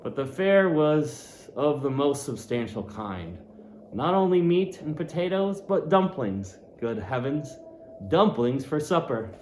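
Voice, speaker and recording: A man giving a dramatic, expressive reading of a classic novel, shifting his voice for effect. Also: on the high side, slow pace. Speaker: audiobook reader. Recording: roomy echo, faint ambient noise.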